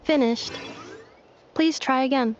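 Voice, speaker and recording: A woman voicing a calm, analytical robotic girl in an anime game, speaking in a formal, clipped, machine-like manner. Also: sits high, takes it slowly, a little hiss or hum. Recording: slightly dull game capture with music.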